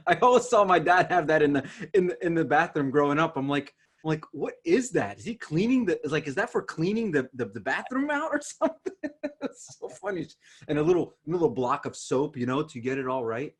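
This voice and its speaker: A man from Ukraine hosting a podcast with casual, teasing banter and animated storytelling, in a husky voice. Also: fairly high pitch, fairly quick. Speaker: podcast host.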